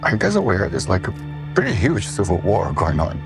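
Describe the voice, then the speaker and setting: A man's voice: lowish voice, huge pitch swings, a little fast, loud music behind the voice. An actor playing a tense man on a war road trip, asking urgent, incredulous questions.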